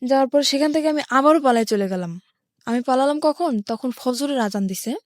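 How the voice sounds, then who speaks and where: A woman's voice: high-pitched, expressive, brisk, dry recording, studio quality. A woman from rural Bangladesh with a Bangladeshi accent, telling her life story step by step in a plain-spoken way as an interview guest. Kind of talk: personal life-story narration in a radio interview.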